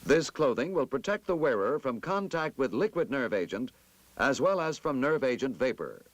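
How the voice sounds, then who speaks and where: A man narrating a scripted 1960s military instructional film in a formal, emphatic, measured manner. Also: mid-range voice, big pitch swings.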